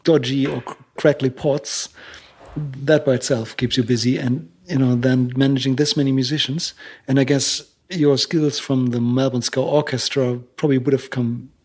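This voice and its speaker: A man's voice: mid-range voice, steady tone, moderate pace, a little mellow. A man hosting a podcast, asking a guest questions and responding with short acknowledgements. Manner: short backchannels, asks questions. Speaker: podcast host. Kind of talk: interviewer.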